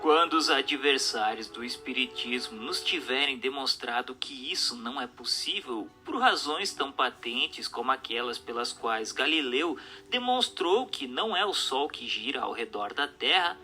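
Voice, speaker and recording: Bright, animated man narrating dramatically. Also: mid-range voice, moderate pace, hardly any pauses, faint music behind. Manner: rhetorical, declamatory, formal. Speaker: narrator of an audiobook radio drama. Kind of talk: dramatic scripted narration of a doctrinal text.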